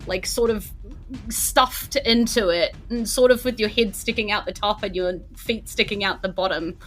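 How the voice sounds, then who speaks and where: A woman with a New Zealand accent playfully improvising narration as game master of a tabletop game, pausing as she describes the action. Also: mid-range voice, a bit bright, low-quality recording.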